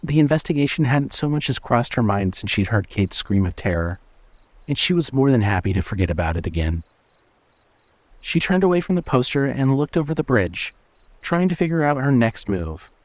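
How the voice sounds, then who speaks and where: A man's voice: medium pitch, sing-song, moderate pace, darkish tone, dry recording. A man reading scripted suspense fiction as a narrator.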